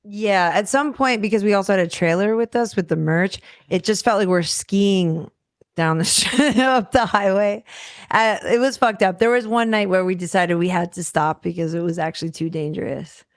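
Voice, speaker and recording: Smooth-voiced easygoing woman musician. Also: medium pitch, no echo, clean studio sound. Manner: quick, easygoing, laughs between remarks. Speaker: touring musician guest. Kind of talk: casual chat.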